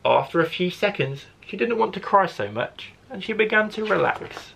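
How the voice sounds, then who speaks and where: A man who works as a primary school teacher, reading a story expressively to children with deliberate phrasing. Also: sits high, expressive, gravelly, pushed and bright, slight background noise, poor audio.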